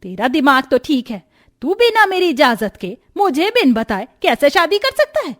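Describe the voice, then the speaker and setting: Woman acting high-pitched domineering older mother-in-law. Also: sing-song, normal speed, fairly bright tone. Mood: angry, scornful. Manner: scolding, imperious, taunting. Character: domineering, scornful older mother-in-law, sweet only to favourite daughter-in-law. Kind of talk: dramatised moral story acting.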